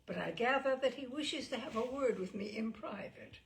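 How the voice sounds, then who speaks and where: A woman's voice: on the high side, moderate pace, vocal fry, slight background noise. A woman voicing a jovial, breezy old aristocratic uncle in a storybook reading, hearty and airy in manner. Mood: breezy, confiding. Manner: hearty, airy, upper-class turns of phrase.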